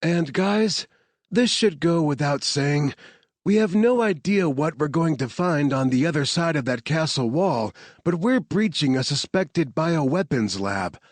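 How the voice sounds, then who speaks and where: A man's voice: fairly high pitch, lively intonation, measured pace, dry recording, pitched above the performer's own voice. A man voicing a tense female team leader. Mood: commanding.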